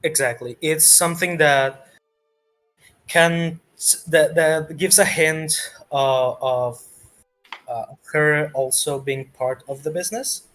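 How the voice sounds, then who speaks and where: A man's voice: a little high, steady tone, slow pace, fairly bright tone, faint music behind. A man narrating a tabletop game as game master in a casual, improvised way, often searching for words.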